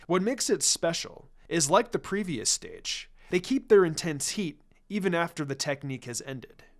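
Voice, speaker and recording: A man voicing a serious adult ninja mentor in a fan fiction reading, calm, stern and deliberate as he explains. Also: on the high side.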